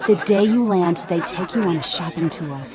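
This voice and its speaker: An actress playing an excited woman passenger who gushes about the trip in a radio drama. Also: lowish voice, moderate pace, natural voice. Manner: breathless, gushing. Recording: lively crowd behind. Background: crowd chatter.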